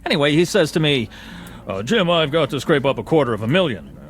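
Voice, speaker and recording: Glib, swooping upper-class dandy. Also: male voice, on the high side, big pitch swings, fairly quick. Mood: animated, scornful. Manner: affected, mannered, talkative. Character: glib, shallow, boastful upper-class dandy club bore. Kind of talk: radio drama acting.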